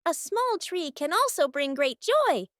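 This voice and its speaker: A woman's voice: extremely high pitch, very animated, a little slow, no echo, studio quality. A woman reading scripted narration for a children's cartoon story. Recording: music behind the voice.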